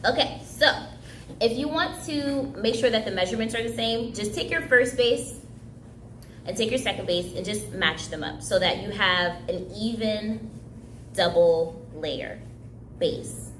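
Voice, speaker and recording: A woman's voice: mid-range voice, measured pace, echoey room, a little hiss or hum. A young woman whose first language is Haitian Creole, leading a casual, chatty craft tutorial for kids. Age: young adult. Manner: upbeat, chatty, laughs easily. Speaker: craft instructor. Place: indoor room, recreation center.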